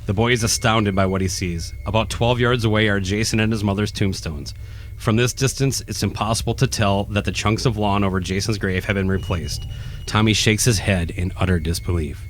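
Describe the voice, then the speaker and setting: A man's voice: fairly low pitch, fairly even intonation, a little fast, slight background noise. A man reading scripted narration for a horror radio play. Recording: faint music behind the voice.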